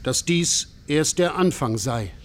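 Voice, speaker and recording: Higher-voiced man, literary narration. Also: animated, takes it slowly. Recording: faint ambient background noise.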